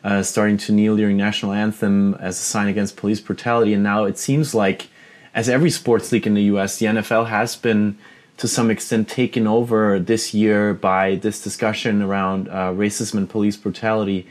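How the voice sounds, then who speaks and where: Low-voiced man asking long questions. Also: level tone, fairly quick. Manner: questioning, winding phrasing. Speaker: podcast host. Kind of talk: podcast interview.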